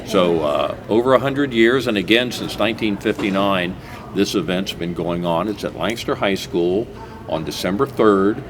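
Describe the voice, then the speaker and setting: Low-voiced man hosting a local show. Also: lively intonation, normal speed, over music. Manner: rambling, with many "uh"s and restarts. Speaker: host. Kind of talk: casual local-TV interview chat.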